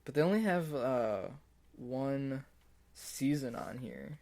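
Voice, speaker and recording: A man's voice: mid-range voice, lively intonation, slow pace, darkish tone. A man co-hosting a podcast in casual chat, laid-back and brief in what he says. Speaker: podcast co-host.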